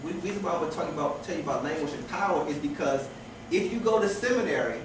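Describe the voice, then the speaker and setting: A man's voice: fairly high pitch, animated, fast talker, background noise. Man lecturing from far off in an echoey room. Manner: repeats and restarts phrases, asks rhetorical questions. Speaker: teacher. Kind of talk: classroom lecture.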